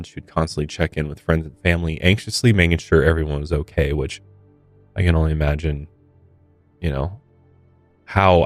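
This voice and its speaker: A man's voice: deep voice, darkish tone, no echo. A man with an American accent narrating true crime as a podcast host, with casual commentary mixed in.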